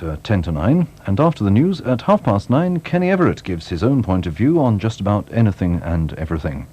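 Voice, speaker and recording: A British man working as a television continuity announcer, reading scripted programme and weather announcements in a measured, courteous, gently wry way. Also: fairly low pitch, slightly rough. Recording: 1980s television broadcast off videotape.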